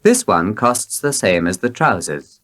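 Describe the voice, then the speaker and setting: A man's voice: lowish voice, big pitch swings, measured pace, a bit bright. A man voicing an insistent shopkeeper in a storybook tale, protesting emphatically.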